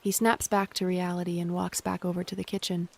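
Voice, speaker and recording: A woman's voice: lowish voice, level tone, fairly quick, gravelly. A woman narrating an audio drama, reading out scripted stage directions.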